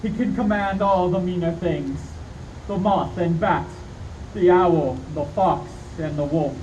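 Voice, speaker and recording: A man playing a grave elderly professor who leads vampire hunters, speaking in deliberate speeches in radio drama style. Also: high-pitched, a little mellow, highs cut off. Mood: solemn warning. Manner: declamatory, deliberate speech-making. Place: community theatre live performance. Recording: noisy, distant stage mic.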